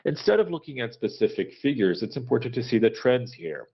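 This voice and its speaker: A man giving a corporate earnings presentation in a halting, measured business manner, pausing often to hesitate. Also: mid-range voice, very animated, creaky, slightly rough. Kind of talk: corporate earnings call presentation and question answering, unscripted. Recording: dull, lo-fi conference call audio.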